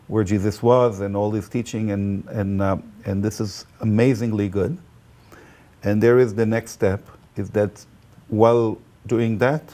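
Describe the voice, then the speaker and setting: A man from Israel with an Israeli accent and Hebrew as his first language, talking as a guest and tour guide in a halting way, full of fillers and restarts. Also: lowish voice, warm tone. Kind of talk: casual conversation.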